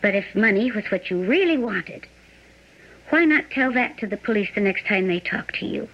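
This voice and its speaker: A shrewd hostage who probes and reasons in a radio drama. Her voice sits at a middling pitch. The broadcast sound is dull and lo-fi. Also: lively intonation, normal speed. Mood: reasoning, insistent. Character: shrewd, probing woman held hostage in a bank. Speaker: actor.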